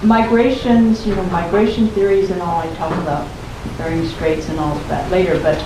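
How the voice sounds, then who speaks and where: An older woman with a low, soft voice giving an unhurried bookstore talk and reading aloud from her book. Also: steady tone. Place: bookstore event room. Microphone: room recording from a distance. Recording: roomy, distant, noisy live recording.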